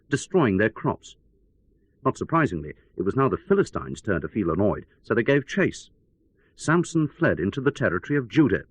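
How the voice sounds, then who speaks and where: Guy acting as a radio reporter telling a story, wry n theatrical, bit husky, really up and down intonation. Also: mid-range voice, no room sound. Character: wry male radio correspondent recounting events as news. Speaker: news correspondent.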